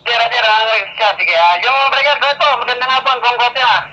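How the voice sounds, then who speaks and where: High, very bright man on radio. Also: brisk, slight background noise. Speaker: amateur radio operator. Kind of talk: casual two-way radio chat. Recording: dull lo-fi two-way radio.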